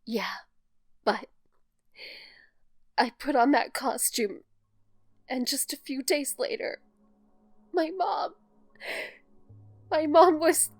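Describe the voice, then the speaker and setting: A woman playing a grieving, self-doubting young woman in an audio drama, subdued and halting. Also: high-pitched, lively intonation, no room sound, studio quality, exaggerated voice. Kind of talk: naturalistic audio drama dialogue.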